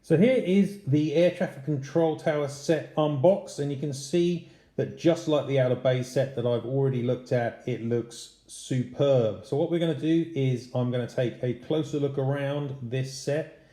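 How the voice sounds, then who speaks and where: A man's voice: mid-range voice, moderate pace, dark tone. A man hosting a casual unboxing review, talking in a conversational, explanatory way. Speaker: channel host, model airport reviewer. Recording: roomy, echoey indoor sound.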